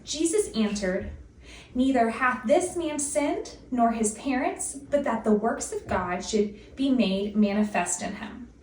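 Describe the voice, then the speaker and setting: A woman giving a formal, measured and deliberate scripture reading in old-fashioned wording. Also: on the high side, expressive, a little mellow. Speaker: scripture reader. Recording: distant mic, echoey room, faint noise.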